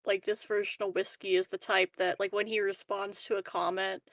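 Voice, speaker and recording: A woman's voice: medium pitch, flat delivery, normal speed, a bit bright, dry recording. A woman chatting casually as a player in a tabletop game, informal and wry. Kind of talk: casual chat.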